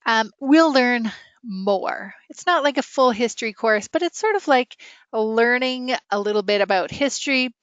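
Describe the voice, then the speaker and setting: A woman's voice: a little high, fairly bright tone, no room sound. A woman teaching a casual, interactive online writing lesson, chatty and full of questions for her students. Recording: dull, lo-fi online class audio.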